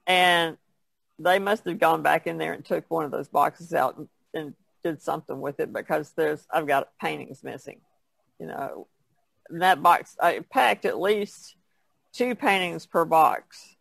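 A man's voice: fairly high pitch, vocal fry, a bit bright, no echo. A man talking casually as an artist guest on a podcast, telling stories in a plainspoken way. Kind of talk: casual conversational storytelling.

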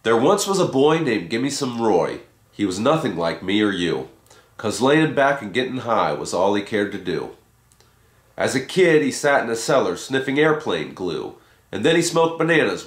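A man giving a dramatic recitation of a rhyming story poem as its reader. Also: lowish voice. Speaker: poetry reader. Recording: roomy, echoing home recording.

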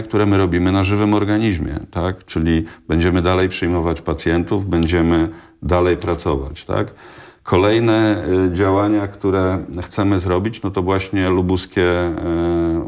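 Deep-voiced man, measured and hesitant. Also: steady tone, a little mellow. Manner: measured, hesitant, many filler sounds. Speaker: hospital director, studio guest. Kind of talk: radio studio interview answers. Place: small TV studio.

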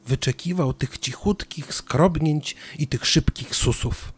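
A man narrating a scripted children's story as an expressive storyteller. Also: fairly high pitch, slow pace, slightly rough.

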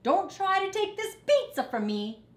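A woman voicing a grumpy, bossy rat with a gruff, blunt manner in a children's storybook. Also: extremely high pitch, big pitch swings, unhurried, reverberant, pitched above the performer's own voice, full character voice. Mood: bossy, shouting.

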